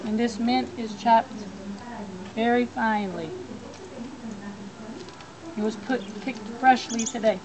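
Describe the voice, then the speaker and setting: A woman's voice: a little high, steady tone, slow pace, pauses often, a crowd in the background. A woman giving plain step-by-step cooking instructions in a backyard. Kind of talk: cooking demonstration. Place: backyard outdoors. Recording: outdoor background noise.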